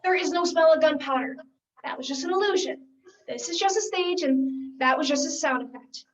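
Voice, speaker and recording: A woman's voice: high voice, moderate pace, lightly acted. A teenage girl acting an exasperated, insistent voice of reason in a school stage comedy, speaking emphatically. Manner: emphatic, theatrical. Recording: dull, distant school play recording.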